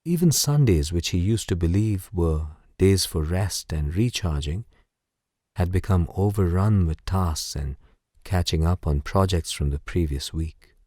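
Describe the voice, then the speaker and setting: A man narrating a bedtime story as guided sleep meditation in a soothing, gentle, unhurried way. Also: deep voice, no room sound, studio quality.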